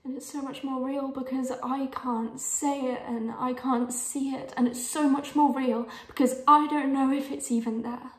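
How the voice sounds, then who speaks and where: A fairly high-voiced young woman in love , played by an actress, speaks in a dramatic monologue. She is earnest and aching, hesitating and sinking toward a whisper. The room echoes around her. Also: little pitch movement, slow pace, warm tone. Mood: aching, intense. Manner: hesitant, breathy drops into near-whisper. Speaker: actor in a monologue showreel. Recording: roomy, echoing room sound.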